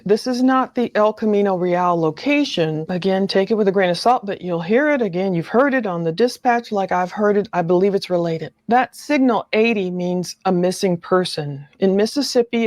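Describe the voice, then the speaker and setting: Woman, mid-pitched, dry recording, casual true-crime analysis, explanatory, careful to qualify. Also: darkish tone, no room sound.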